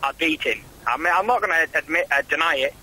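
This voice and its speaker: A man talking frankly on a phone call, a boxer who rates himself highly. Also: quick pace, bright, forceful tone, husky. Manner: frank, boastful. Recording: phone-line audio.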